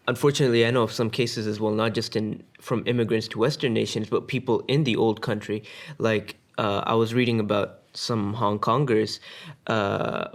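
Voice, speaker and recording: A man with a low, gravelly voice lays out his argument step by step on a casual podcast panel. He pauses with fillers but keeps an explanatory tone. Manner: explanatory, lecture-like. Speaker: podcast co-host.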